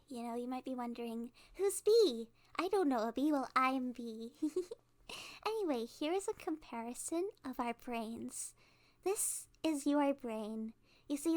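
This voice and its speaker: A woman playing a cute, awkward, cheerfully menacing kidnapper girl in a rambling, playful ASMR roleplay monologue. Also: sits high. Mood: chatty, giddy. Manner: rambling, halting, giggly, playful.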